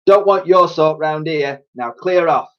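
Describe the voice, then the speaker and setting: A man's voice: a little high, measured pace, highs cut off, pitched above the performer's own voice, natural voice. A man voicing a bullying, contemptuous Victorian policeman in a storybook, bawling brusquely. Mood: contemptuous, angry.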